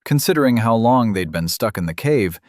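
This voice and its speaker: A man narrating a fantasy audiobook in a steady, even storytelling manner on a close studio mic. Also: fairly low pitch, brisk, no room sound.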